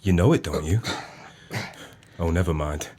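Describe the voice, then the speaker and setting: A man acting in an audio play as a sly, vengeful pub landlord, measured and pointedly polite with cold menace. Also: low-pitched, noisy background, lightly acted. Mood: falsely genial.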